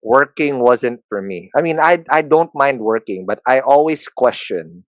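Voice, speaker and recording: Filipino man, 30s, candid self-deprecating guest, mid-pitched, dry studio sound. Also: expressive, unhurried, no echo, highs cut off. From Philippines. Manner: self-deprecating, joking, frequent restarts. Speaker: podcast guest, entrepreneur. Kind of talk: candid conversational interview.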